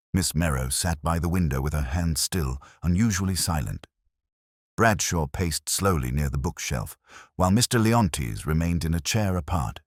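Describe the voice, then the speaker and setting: Very deep male voice, audiobook mystery narrator, lively intonation, close dry recording. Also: husky, dry recording. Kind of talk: scripted mystery story narration.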